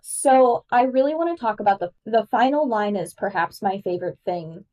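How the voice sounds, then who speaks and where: A woman's voice: medium pitch, animated, normal speed, darkish tone, far from the mic, muffled recording. A woman guest-hosting a podcast, explaining verse and meter with eager, nerdy enthusiasm. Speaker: guest host. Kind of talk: podcast discussion.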